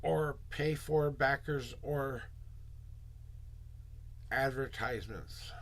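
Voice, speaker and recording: Hoarse, gravelly man streaming live. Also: mid-range voice, slight background noise. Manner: loose and chatty, mutters asides to himself; upbeat, drawn-out greetings to viewers. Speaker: tarot reader and livestream host. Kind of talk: casual livestream chat.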